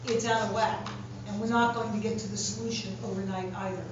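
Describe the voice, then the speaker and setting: A woman candidate giving a measured, plain-spoken speech at a public forum, repeating phrases for emphasis. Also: medium pitch, steady tone. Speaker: political candidate. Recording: echoey hall, distant mic, dull sound, background noise.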